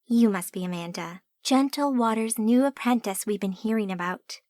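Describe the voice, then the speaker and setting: A woman voicing a gracious woman of the house with a warm, hospitable manner. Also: fairly high pitch, moderate pace, no room sound, studio quality, lightly acted. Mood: welcoming.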